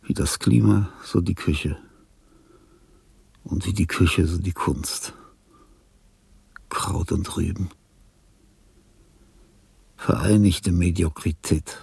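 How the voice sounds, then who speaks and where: An actor playing an ailing old artist in a radio drama, brooding and disdainful, pronouncing bleak lines in a measured, gravelly voice. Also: male voice, deep voice, fairly even intonation, a little mellow, dry recording, heavily acted. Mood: bitter, resigned. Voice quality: gravelly, very husky. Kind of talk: radio drama inner monologue.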